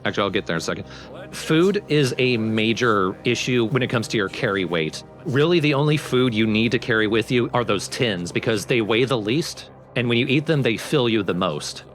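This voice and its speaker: A man's voice: lowish voice, fairly bright tone. A man hosting a let's play with casual, explanatory game commentary.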